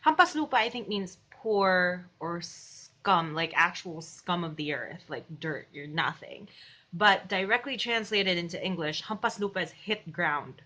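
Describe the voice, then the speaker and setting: A woman's voice: on the low side, expressive, measured pace, bright, forceful tone. Rambling young woman. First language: Filipino. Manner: rambling, chatty, with joking asides. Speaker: vlogger. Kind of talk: casual commentary vlog.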